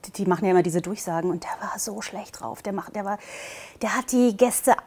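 A woman in her thirties who grew up in Portugal speaks in a casual, personal way, as an actress would when introducing herself. Her voice sits at a middle pitch and her manner is chatty. She is recorded indoors on a lapel mic. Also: brisk, a little mellow, breathy edge. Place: indoor studio with dark backdrop.

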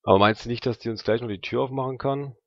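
Male tabletop role-player, gravelly, casual slang, halting delivery, dry and slightly dull recording. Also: mid-range voice, fairly even intonation, no room sound. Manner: halting, thinking aloud. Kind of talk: casual slangy in-character dialogue.

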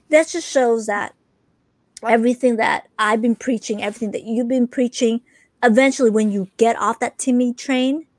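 A woman in her thirties hosting a casual livestream, chatting in a rambling, animated way and repeating herself for emphasis. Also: on the high side, no echo. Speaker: podcast host. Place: small room full of collectibles, indoors. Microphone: close desk microphone.

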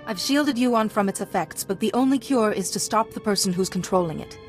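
Young female game hero, acted, calm, earnest, medium pitch, music underneath. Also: fairly quick, close to an everyday voice. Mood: composed, earnest. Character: composed, dutiful young female Jedi hero. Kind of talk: video game voice acting.

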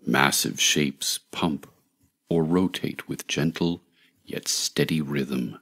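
A man narrating a scripted guided meditation in a measured, soothing, deliberate way, with long pauses. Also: a bass voice, fairly bright tone, breathy edge.

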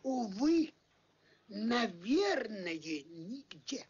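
A woman voicing a melancholy, lovelorn, gentle puppet clown in an old Soviet cartoon, with a mournful, sighing delivery. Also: medium pitch, big pitch swings, drawn-out words, a little hiss or hum. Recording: dull old film soundtrack, faint music.

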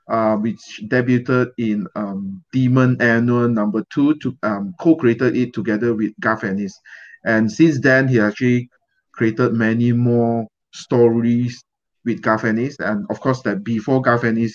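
Malaysian man hosting on muffled call audio. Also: mid-range voice. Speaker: host. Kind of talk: casual livestream hosting. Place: indoor room, on a video call. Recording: dull, lo-fi video-call audio.

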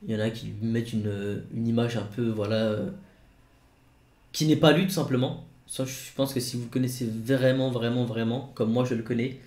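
Jeune homme bavard, salle qui résonne. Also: male voice, mid-range voice, steady tone, normal speed, darkish tone, echoey room. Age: 20s. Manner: rambling, many fillers and restarts. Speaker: YouTuber. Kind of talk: casual vlog to camera. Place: home studio room.

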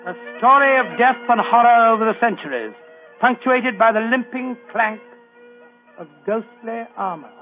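An actor playing a genial elderly English doctor who tells old detective stories in 1940s radio drama style. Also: male voice, extremely high pitch, expressive, normal speed, over loud music. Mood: grave storytelling. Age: older. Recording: crackly, tinny old radio broadcast.

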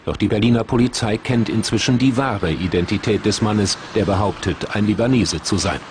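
A man reading the scripted narration of a television documentary in an even, measured way. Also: on the low side, noisy background.

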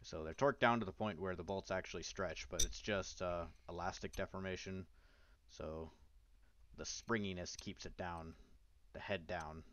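A man's voice: deep voice, steady tone, normal speed, a bit bright. A man narrating a casual how-to video as its host, with wry, self-deprecating asides, in a voice-over. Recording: dull, lo-fi, dry voice-over.

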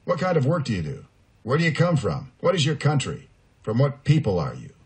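An alarmed ship's sailor, played by a male storyteller in a children's puppet show. He is urgent and demanding, and his pitch rises and falls widely. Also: wildly swooping pitch, brisk, a little mellow. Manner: urgent, questioning, pleading.

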